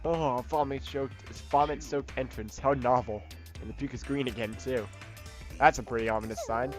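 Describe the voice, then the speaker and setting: A man's voice: mid-range voice, fairly even intonation, moderate pace, music in the background. A man with a head cold and a stuffed-up nose, casually commenting on a video game, chatty, swearing now and then. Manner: chatty, self-interrupting, laughs often, swears casually. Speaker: let's play host.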